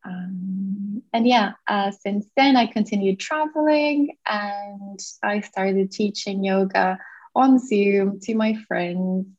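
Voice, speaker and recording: A woman's voice: mid-range voice, takes it slowly, darkish tone, dull audio. A woman in her thirties from Portugal, a yoga teacher who is a podcast guest, telling her story in a grateful, reflective way with frequent fillers. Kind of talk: conversational interview.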